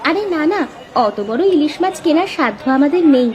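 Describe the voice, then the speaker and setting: High-pitched caring young village girl voiced by a woman. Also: lively intonation, brisk, a little mellow, a faint crowd. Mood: earnest, wistful. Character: caring young village sisters, dutiful daughters of a sick father. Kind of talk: Bengali moral-story cartoon dubbing.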